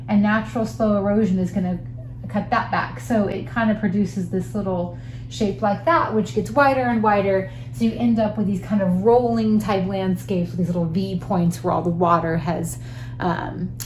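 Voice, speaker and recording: A woman's voice: mid-range voice, darkish tone. A middle-aged woman teaching geology at a whiteboard, explaining step by step as she draws, with frequent fillers. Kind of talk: whiteboard lesson. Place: small room at a whiteboard. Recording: echoey room with faint noise.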